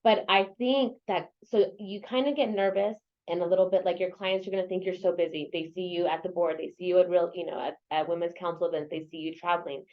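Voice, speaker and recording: Earnest woman guest from el paso, lower voice, muffled call sound. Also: fairly even intonation, fast, few pauses. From El Paso, Texas. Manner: earnest, repeats herself, many "you know" fillers. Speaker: real estate agent and local association president. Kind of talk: interview guest, casual conversation. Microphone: video or phone call.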